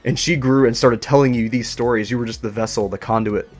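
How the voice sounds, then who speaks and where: A man's voice: mid-range voice, fairly even intonation, fairly quick. A man hosting a casual interview, musing aloud and feeling his way tentatively through his questions. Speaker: interview show host. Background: music.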